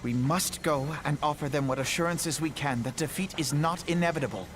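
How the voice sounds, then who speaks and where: An actor playing a solemn, resolute young male scholar-leader in a game cutscene, speaking in a formal, measured way. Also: on the high side, background noise, lightly acted. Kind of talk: voiced video game cutscene. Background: game music.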